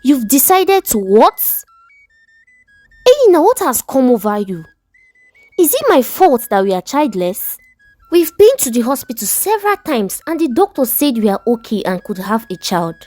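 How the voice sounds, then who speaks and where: A woman's voice: high-pitched, sing-song, normal speed, warm tone, no room sound, soft background music, pitched above the performer's own voice. A woman voicing a betrayed Nigerian wife in a story, indignant, pleading and exclamatory, with a Nigerian accent. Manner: dramatic, exclamatory, questioning.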